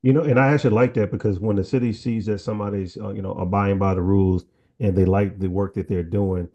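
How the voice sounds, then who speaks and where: Mellow-voiced male host, encouraging and chatty. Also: on the low side, steady tone, fast, dull audio. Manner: encouraging, rambling, filler-heavy. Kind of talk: casual interview.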